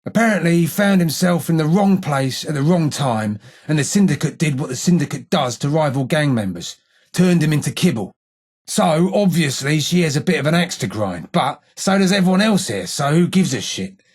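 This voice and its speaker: A man voicing a gruff, foul-mouthed, sardonic old London gangster ghoul in a video game, with a husky voice and salty Cockney slang. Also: on the high side, animated, moderate pace, a little mellow. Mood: sardonic, dismissive. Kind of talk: video game dialogue acting.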